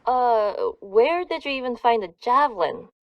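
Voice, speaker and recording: A woman's voice: fairly high pitch, animated, moderate pace, dry recording, close to an everyday voice. A woman playing a guarded, dutiful young soldier in a video game, speaking in a curt, businesslike way. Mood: weary, dry. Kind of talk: video game cutscene acting.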